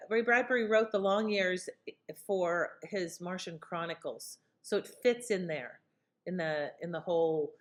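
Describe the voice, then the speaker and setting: Casual woman host, medium pitch. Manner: informal, self-correcting, explanatory. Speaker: host introducing old radio episodes. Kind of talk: casual unscripted introduction.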